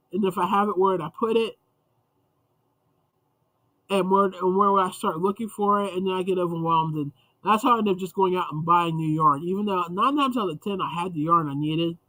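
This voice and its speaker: A man's voice: high voice, pushed and bright, no echo, rough recording. A man hosting a casual livestream chat, rambling and chatty with frequent restarts, sounding congested and sniffly. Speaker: livestream host.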